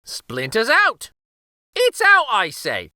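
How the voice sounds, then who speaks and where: A high, wildly swooping old uncle from a children's storybook. He is a folksy jokester who teases everyone and says things twice over. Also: takes it slowly, no room sound, studio quality, full character voice. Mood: gleeful teasing. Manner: folksy, excitable, repeats himself. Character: teasing old uncle, a bearded jokester doctor.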